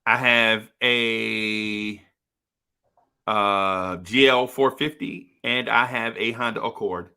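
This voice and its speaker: A man banters with a bright, sharp voice, teasing his co-host and joking around. He talks in a loose, playful way and drops "bro" often. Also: fairly low pitch, slow, clear, resonant tone. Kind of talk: casual sports radio banter.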